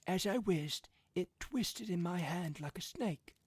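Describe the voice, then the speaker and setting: A man reading a story as an excitable, stubborn old father, with a thin, husky, insistent voice. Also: high voice, slow pace, no echo, voice pushed up, full character voice. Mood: alarmed. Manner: agitated, insistent. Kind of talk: audiobook character voice.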